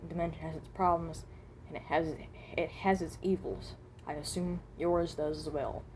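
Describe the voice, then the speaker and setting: Woman voicing a weary, hesitant animatronic rabbit, dark-toned. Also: on the low side, a little hiss or hum. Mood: resigned, apologetic. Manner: halting, hesitant, trailing off, self-correcting. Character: weary, self-loathing, guarded animatronic rabbit from another dimension. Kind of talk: amateur in-character voice lines, improvised monologue.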